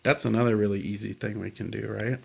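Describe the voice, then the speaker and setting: A man hosting a library storytime, chatting casually and simply to young children in a gentle, encouraging way. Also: fairly low pitch, level tone, quick pace, vocal fry, slight background noise.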